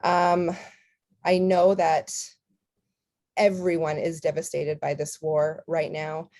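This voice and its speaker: A woman's voice: deep voice, little pitch movement, slow pace, darkish tone. A woman from Canada whose first language was Ukrainian, speaking earnestly with some fillers as a company founder at a volunteer orientation. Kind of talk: personal talk at an online orientation.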